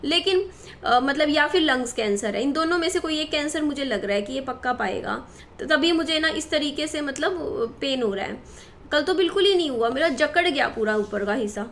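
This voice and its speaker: A woman's voice: high voice, expressive, moderate pace, fairly bright tone, slight background noise. A woman in her thirties talking to her viewers in a worried, rambling way, with many fillers and restarts. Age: 30s. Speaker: vlogger. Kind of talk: personal talk to camera.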